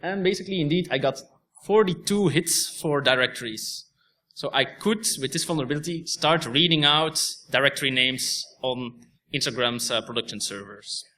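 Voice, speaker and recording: A man's voice: medium pitch, normal speed, pushed and bright. A young man from Belgium, a native Dutch speaker, giving a technical talk with a Belgian Dutch accent and explaining step by step with frequent restarts. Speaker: conference speaker.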